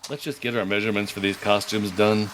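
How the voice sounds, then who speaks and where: A gruff ex-military agent, played by a man, terse and grumbling. His voice sits a little low. Long reverb and clear noise surround it. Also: flat delivery, fast, a bit bright, close to an everyday voice.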